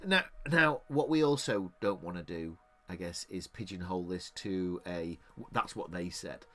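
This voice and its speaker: A man's voice: low-pitched, moderate pace, fairly bright tone. A middle-aged man from England co-hosting a podcast, rambling in casual chat with frequent restarts and easy laughter. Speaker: podcast co-host.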